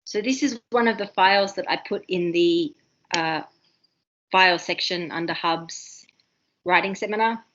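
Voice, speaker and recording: An Australian woman runs an online university writing seminar, talking quickly and eagerly, full of ums and restarts. Her voice sits fairly low. The video call audio is dull and muffled. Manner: fast, excitable, many ums and restarts.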